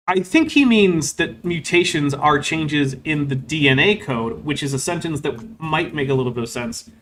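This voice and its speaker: A man's voice: fairly high pitch, fairly bright tone, slight background noise. A man hosting a stream, reading super chats and commenting on a clip in a casual, wry way, filling his talk with "like" and "um". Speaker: host.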